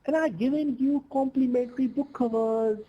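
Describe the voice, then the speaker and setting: High, husky-voiced woman negotiating. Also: moderate pace, mellow, lo-fi sound. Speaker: caller demanding payment for publishing work. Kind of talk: phone sales negotiation.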